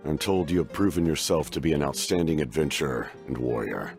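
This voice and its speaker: A man's voice: rumbling low, lively intonation, fairly quick, a little mellow, faint music behind. A man playing a grave, courteous military captain in a video game, speaking formally and with restraint. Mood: grave, respectful. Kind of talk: scripted video game voice acting.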